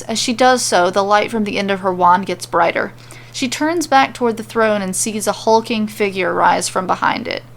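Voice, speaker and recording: A woman's voice: mid-range voice, creaky, a bit bright. A woman narrating scripted comedic fan fiction as a storyteller.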